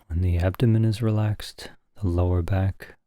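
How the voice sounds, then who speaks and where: Male meditation teacher, deep mellow voice, calm gentle instruction, long pauses, close dry sound. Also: dry recording. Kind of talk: guided meditation narration.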